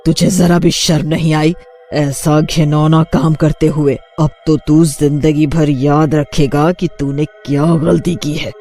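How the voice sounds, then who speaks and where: A man's voice: on the high side, level tone, slow pace, mellow, faint music behind. A man voicing a vengeful witch girl who sells street snacks, stern and confrontational, in a cartoon story. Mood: angry, threatening.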